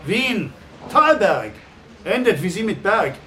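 An actor in a radio crime drama playing an urgent, eager police subordinate. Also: male voice, high voice, sing-song, slow pace, pushed and bright, slight background noise, heavily acted. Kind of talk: German radio play acting.

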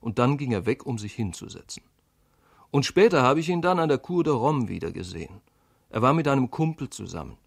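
A man's voice: medium pitch, sing-song, a little fast, creaky. A man performing a literary monologue as the narrator of a radio play.

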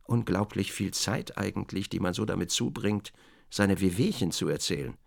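A man's voice: deep voice, normal speed, vocal fry. A man reading scripted literary narration as the narrator of a radio drama.

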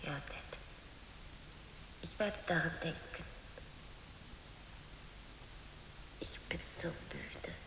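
A weary, dutiful daughter with a very low, half-whispered voice. She answers briefly and calmly in a radio drama style, inside a long, echoing reverb. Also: slow. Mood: exhausted, dutiful. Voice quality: breathy, whispery. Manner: subdued, weary, short answers. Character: exhausted, resigned grown daughter, calm and dutiful. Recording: echoey reverberant hall.

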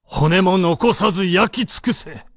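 An actor in a Japanese radio drama playing an imperious, fearless samurai warlord with a commanding, theatrical delivery. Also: male voice, high-pitched, slow, fairly bright tone, no echo, studio quality, exaggerated voice. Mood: defiant, grimly amused.

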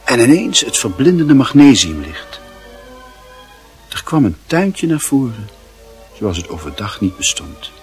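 A man's voice: medium pitch, big pitch swings, unhurried, pauses often, faint music behind. A man reading scripted literary narration as the narrator of a radio drama.

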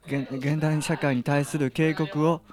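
A man's voice: on the high side, little pitch movement, unhurried, close to an everyday voice. A man playing a flustered young director who stammers apologetically at a press conference in a radio drama. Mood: flustered, apologetic. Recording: clear crowd noise behind.

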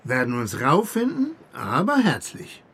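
Bluff kindly north german seaman, husky, voice swings up and down, radio play. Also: mid-range voice, wildly swooping pitch, unhurried, a bit bright, heavily acted. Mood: hearty, polite. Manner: jovial, bluff dialect speech. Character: hearty, rough-mannered old fisherman uncle, kindly.

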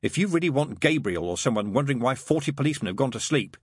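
Man putting on a prim, fussy angel, pitched up. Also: animated, quick pace, breathy edge, no echo, clean studio sound. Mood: fussy, urgent. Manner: proper, sweetly earnest.

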